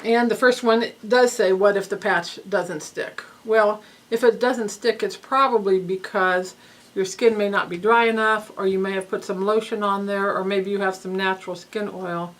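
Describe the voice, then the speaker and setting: A woman's voice: mid-range voice, normal speed. A woman coordinating a research study, patiently explaining participant forms step by step, slightly stuffy-nosed, with occasional ums.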